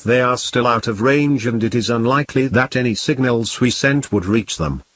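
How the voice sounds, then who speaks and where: A man playing a calm, grave emergency broadcast announcer, reading in a formal, measured and solemn way. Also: medium pitch, no echo. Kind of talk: scripted emergency broadcast narration.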